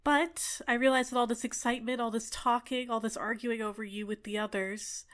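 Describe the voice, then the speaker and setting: A woman's voice: fairly high pitch, close to an everyday voice. Woman playing a gushing, possessive little fairy. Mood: excited, eager. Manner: excitable, gushing, coy, chatty. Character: bubbly, clingy, possessive female fairy, sweetly menacing. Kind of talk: ASMR roleplay, scripted character acting.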